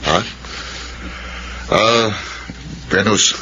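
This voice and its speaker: A muffled, clearly noisy drama recording carries a stern middle-aged father with a low voice. He speaks with halting authority, like a man who rules the household. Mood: stern, probing. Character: stern, conditional-loving father of pregnant daughter. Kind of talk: radio drama acting.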